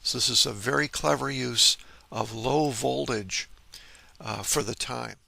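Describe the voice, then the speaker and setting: A man giving an informal technical demonstration of vintage radio gear, explaining and pausing to point things out. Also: medium pitch, vocal fry, sharp tone, no echo. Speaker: host, electronics hobbyist.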